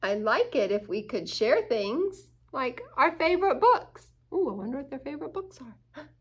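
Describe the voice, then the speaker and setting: A woman's voice: high-pitched, very animated, normal speed, a bit bright, slightly rough. A woman reading a children's picture book aloud, expressively, with chatty asides and questions to her listeners. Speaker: storytime reader at a church.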